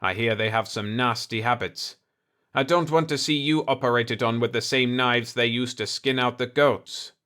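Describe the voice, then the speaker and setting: A man voicing an indignant, gruff chief of state who complains combatively in a sci-fi audiobook. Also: medium pitch, steady tone, normal speed, bright, forceful tone, lightly acted. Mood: gruff, wary. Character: indignant, blustering cigar-chewing planetary chief of state, male.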